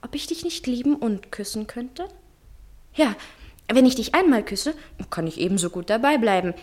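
A woman acting in a 1960s German radio play as a capricious young lover, theatrical and teasing, often drifting into breathy murmurs. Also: fairly high pitch, lively intonation, normal speed, darkish tone. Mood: coquettish, wistful. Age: young adult. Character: dreamy, coquettish, capricious young woman in love.

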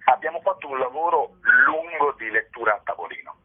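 A man's voice: fairly high pitch, fairly quick, slightly rough. A man giving articulate, wordy interview answers as a playwright, with literary phrasing, heard through a muffled, band-limited connection. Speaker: playwright and director being interviewed. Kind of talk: articulate spoken interview answers about theatre. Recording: muffled band-limited radio phone-style sound.

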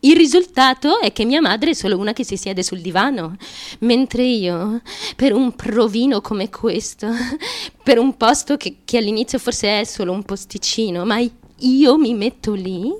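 An actress playing a small, fragile, fiercely driven young woman in a theatrical monologue, childlike, halting and insistent, with repetitive phrasing. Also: on the high side, animated. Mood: determined, confiding. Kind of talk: theatrical stream-of-consciousness radio monologue.

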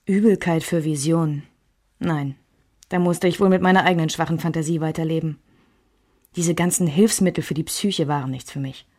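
A woman's voice: low voice, normal speed, darkish tone. An actress playing a reflective woman who narrates her memories in a scripted radio drama. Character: reflective woman remembering her marriage and lovers.

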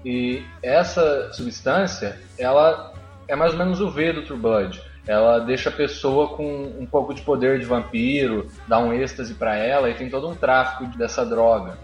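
A man's voice: mid-range voice, normal speed, lo-fi sound. A man with a Brazilian accent chatting casually as a podcast co-host, opinionated and slangy. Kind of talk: casual chat. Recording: roomy echo. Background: faint music.

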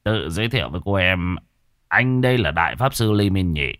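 A man voicing a boastful, scruffy aging male sorcerer who curses and mutters gruffly. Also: sits low, animated, fairly quick, fairly bright tone, no echo, clean studio sound.

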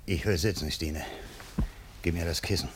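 An actor playing a bitter, ailing old farmer in a radio play, domineering, with a labored voice. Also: male voice, deep voice, normal speed, slight background noise, lightly acted. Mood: weary, demanding. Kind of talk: German radio play acting.

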